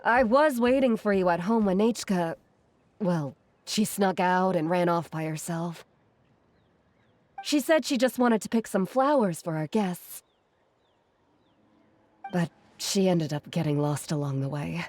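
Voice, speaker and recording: A woman playing a gentle, caring, modest retired artisan in a video game, soft-spoken and measured. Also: fairly low pitch, lively intonation. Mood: apologetic, tender.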